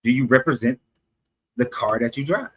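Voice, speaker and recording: A man's voice: mid-range voice, normal speed, slightly rough. Argumentative man from Atlanta. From Atlanta, USA. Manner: argumentative, explains at length, self-correcting. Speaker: street debater. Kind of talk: casual street conversation.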